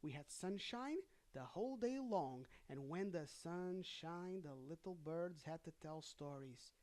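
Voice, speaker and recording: Proud little matchsticks from a storybook, voiced by a storyteller. They are pompous and nostalgic, boasting of their noble youth in a middling pitch. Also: expressive, measured pace, darkish tone, lightly acted. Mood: boastful.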